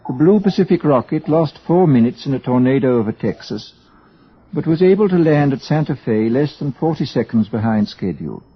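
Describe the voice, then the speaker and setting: A man's voice: medium pitch, expressive, a little fast, slightly rough. A man giving scripted narration for a radio drama. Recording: dull, muffled old radio recording.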